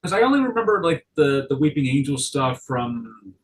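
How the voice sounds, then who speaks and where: A man chatting casually in a rambling, halting way, often correcting himself mid-sentence. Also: mid-range voice, sing-song, distant mic. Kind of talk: casual chat.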